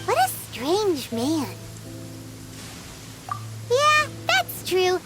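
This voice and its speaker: A tiny, chatty fairy sidekick from a video game, with a very high, childlike voice. The pitch bounces around in cutesy exclamations, over prominent game music. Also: female voice, huge pitch swings, drawn-out words, heavily acted, low-quality recording. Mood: puzzled, musing. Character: small, childlike floating fairy companion, excitable and chatty.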